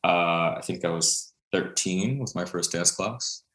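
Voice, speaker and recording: Deep-voiced Brooklyn man in an echoey room. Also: unhurried, a bit bright. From Brooklyn, New York. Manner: chatty, many fillers, laughs often. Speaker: guest, contemporary dancer. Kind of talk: casual interview storytelling.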